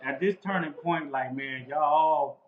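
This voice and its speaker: Man, higher voice, casual slang-heavy storytelling, muffled echoey sound. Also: measured pace. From Los Angeles. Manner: laid-back, repetitive street slang with frequent "bro". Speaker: interview guest. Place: outdoors near a house.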